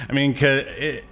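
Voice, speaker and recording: A man's voice: medium pitch, sharp tone, slightly rough. A man co-hosting a podcast in casual chat, talking in a loose, rambling way with chuckles. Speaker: podcast co-host. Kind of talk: casual chat about cartoons.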